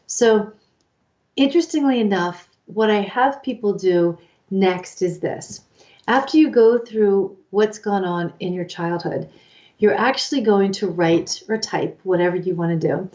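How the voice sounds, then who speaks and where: Lively woman co-host, slightly lo-fi. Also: mid-range voice, measured pace. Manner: animated, acts out quoted inner voices. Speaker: co-host, life coach. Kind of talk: casual advice chat.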